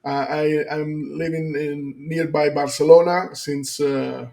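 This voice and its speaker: A man's voice: on the high side, little pitch movement, slow, gravelly, mellow. A man from Rome, Italian by birth, speaking English hesitantly with many restarts and fillers. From Italy, Rome. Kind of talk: interview testimony.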